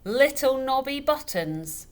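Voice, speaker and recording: A woman voicing a stubborn, tipsy father in a children's story, loud, emphatic and scornful, close to shouting. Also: very high-pitched, sing-song, slow pace, voice pushed up, full character voice. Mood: argumentative, insistent. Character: tipsy, stubborn, jeering father arguing loudly. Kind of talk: children's audiobook character voice. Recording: noticeable background noise.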